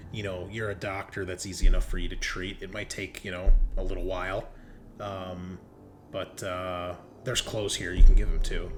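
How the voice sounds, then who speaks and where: Low-voiced man narrating a tabletop game, halting. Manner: conversational, halting, pausing mid-sentence to think. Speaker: game master.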